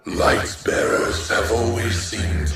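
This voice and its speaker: Deep, echoing sadistic game villain. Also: male voice. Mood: gloating menace. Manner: slow, theatrical, savoring each word. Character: sinister revived villain, sadistic, grandiose, savoring newfound power. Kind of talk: video game voice acting. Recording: echoing, distant, with loud music.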